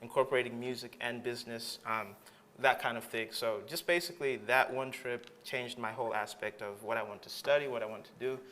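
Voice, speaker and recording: An eager man from Tanzania chats about his studies as an interview guest. His voice is bright and forward, with a middle pitch. He rambles warmly through fillers and restarts. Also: steady tone, brisk. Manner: enthusiastic, rambling with many fillers. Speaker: graduate student guest. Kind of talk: casual interview answers.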